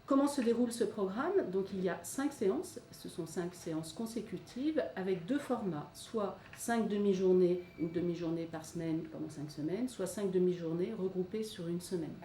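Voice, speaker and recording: Lower-pitched woman in an echoey room. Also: moderate pace, hardly any pauses, dark tone. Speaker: hospital programme presenter. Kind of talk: informational presentation, explaining. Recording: roomy, distant mic, faint noise.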